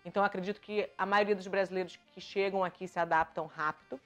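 A Brazilian woman in her thirties with a Carioca accent mixed with European Portuguese touches, chatting casually to the camera from her sofa as a vlogger, lively and gesturing as she talks. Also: mid-range voice, fast, dull audio, soft background music. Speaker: vlogger answering viewer questions. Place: bright living room, seated on a sofa.

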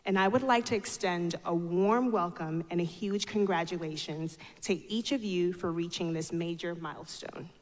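A woman's voice: on the low side, normal speed, hardly any pauses. A woman, giving a formal prepared speech as a student speaker at a podium. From Ghana, grew up in North Carolina, United States. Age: 20s. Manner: formal, reading from notes. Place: large auditorium stage at a podium. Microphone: podium microphone. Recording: echoey auditorium with long reverb.

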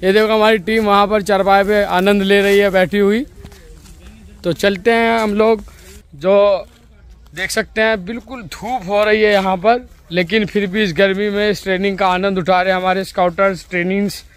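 A man's voice: sits high, steady tone, moderate pace, smooth. A young man, chatting upbeat to viewers while walking around outdoors with a phone camera. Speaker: vlogger interviewing scout trainees. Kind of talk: walking vlog narration and interviews. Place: outdoors in rural fields and woods. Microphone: phone camera held at arm's length.

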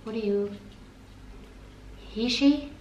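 A woman's voice: on the high side, slow pace, a little mellow, lo-fi sound, a little hiss or hum. Chatty young woman, echoey plant room. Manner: chatty, playful, self-mocking asides. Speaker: plant vlogger, host. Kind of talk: casual chat with how-to tips. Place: plant-filled living room, indoors. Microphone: camera at a distance, seated at a table. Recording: roomy echo.

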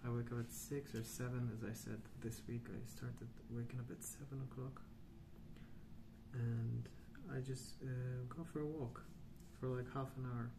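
Soft spoken guy doing asmr rambling, gravelly fry, pretty flat pitch, musing along. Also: background noise. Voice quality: soft, hushed, at times near whisper. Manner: unhurried, hesitant, musing. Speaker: host of a colouring ASMR channel. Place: indoors at a wooden table.

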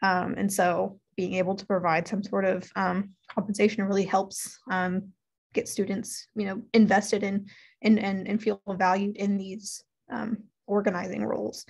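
A woman's voice: mid-range voice, little pitch movement, moderate pace, gravelly. A young woman, a student, speaking earnestly on a video call panel. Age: young adult. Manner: earnest, many fillers and restarts. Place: indoor room on video call.

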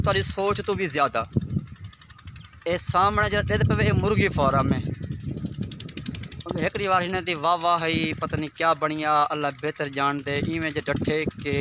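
A man in his thirties with a Saraiki accent, talking earnestly to his phone camera outdoors on a farm and giving advice to his friends. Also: on the high side. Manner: earnest, advising friends, repeats phrases. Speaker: vlogger. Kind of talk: casual vlog to camera. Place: outdoors on a farm beside palm trees. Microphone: phone held at arm's length. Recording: outdoor phone audio with background noise.

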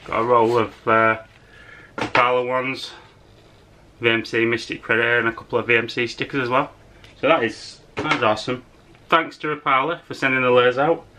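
A man's voice: mid-range voice, level tone, normal speed, sharp tone, slight background noise. A young man in his twenties chatting casually and enthusiastically while unboxing fishing lures at home, talking straight to a camera on his desk. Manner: easygoing, enthusiastic, chatty with filler words. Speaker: fishing vlogger. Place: small room indoors at home.

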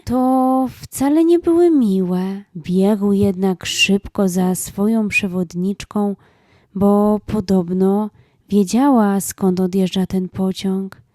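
A woman narrating a children's story in a calm, scripted storytelling style. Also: medium pitch, no room sound, clean studio sound.

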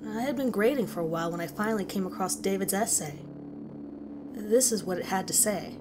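A woman's voice: mid-range voice, a little fast, lightly acted. A woman narrating a scripted horror story as a concerned, unsettled teacher. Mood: uneasy, reflective. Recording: clearly audible background ambience noise.

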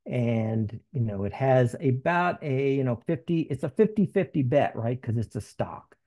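An American man in his sixties teaching informally in an online webinar, speaking in a halting, conversational way. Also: mid-range voice, lively intonation, warm tone. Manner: halting, conversational, frequent you-knows. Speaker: options trading meetup presenter. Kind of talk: informal webinar teaching with slides and screen share. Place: home office room. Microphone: headset.

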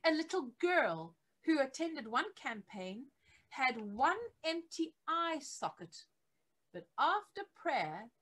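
Woman, middle-aged, slightly high pitch, scripted book narration, measured delivery, stressed key phrases. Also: very animated, a bit bright. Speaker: reader of a church history book. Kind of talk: scripted narration, reading aloud from a biography. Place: attic home office, indoors.

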